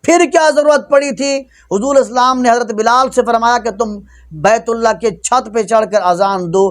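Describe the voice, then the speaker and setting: A man's voice: extremely high pitch, moderate pace. A man preaching an Islamic sermon as a rebuttal, emphatic and admonishing. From Pakistan. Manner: emphatic, admonishing, rhetorical questioning. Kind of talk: religious sermon, argumentative rebuttal.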